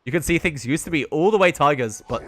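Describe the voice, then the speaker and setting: A man's voice: on the high side, lively intonation, fast talker, fairly bright tone. British man casting a live esports match. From Britain. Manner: chatty, answers his stream chat between plays. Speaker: esports caster. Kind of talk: solo play-by-play commentary on a live stream.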